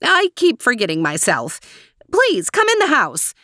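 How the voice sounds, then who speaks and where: A woman voicing a suspicious, hard-of-hearing old woman in a storybook, with a strong, high, screechy voice and a curt manner. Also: huge pitch swings, a little slow, sharp tone, dry recording, studio quality, exaggerated voice. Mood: softened, coaxing. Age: older. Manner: curt, accusing questions. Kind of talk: animated storybook character voice.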